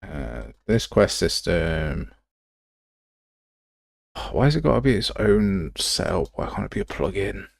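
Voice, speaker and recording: A man hosts a casual livestream in a low voice with plenty of vocal fry. His pitch moves around a lot as he grumbles and thinks aloud. The sound is close and dry. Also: sing-song, a little slow, pauses often, no echo. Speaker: livestream host. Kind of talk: casual livestream commentary while browsing software assets.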